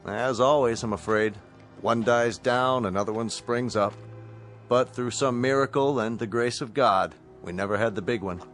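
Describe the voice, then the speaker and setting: An actor playing a courteous, businesslike colonel in command of a rescue spaceship, measured and official, in a radio drama. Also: male voice, medium pitch, a bit bright, natural voice. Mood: reassuring. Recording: radio drama with faint music behind.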